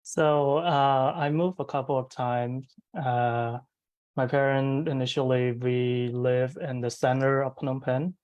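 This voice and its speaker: Young Cambodian man, earnest and halting. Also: mid-range voice, fairly even intonation, a little mellow, no echo, lo-fi sound. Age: young adult. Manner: earnest, halting, many fillers and restarts. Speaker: guest. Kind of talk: casual interview conversation.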